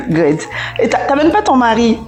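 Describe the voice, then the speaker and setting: A woman's voice: on the high side, sing-song, unhurried, darkish tone, faint music behind. A woman hosting a casual interview show, chatting informally and sometimes slipping English phrases into her French. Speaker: host interviewer of a show about returning to the homeland.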